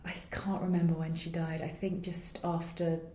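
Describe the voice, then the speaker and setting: A woman's voice: fairly low pitch, flat delivery, normal speed, dark tone, reverberant, a little hiss or hum. A woman playwright answering interview questions earnestly, searching for her words and laughing lightly now and then.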